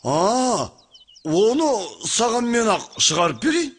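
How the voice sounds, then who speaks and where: A man's voice: very high-pitched, very animated, a little slow, full character voice. Man acting a brash, echoing cartoon fellow. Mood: eager, bossy. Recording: heavily echoing, reverberant, faint music.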